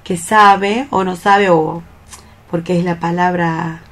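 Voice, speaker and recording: A woman with a fairly low voice speaks as a radio co-host. Her talk is casual and reflective, with hesitations and repeated words while she looks for a phrase. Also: steady tone, slow, a bit bright. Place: radio programme conversation.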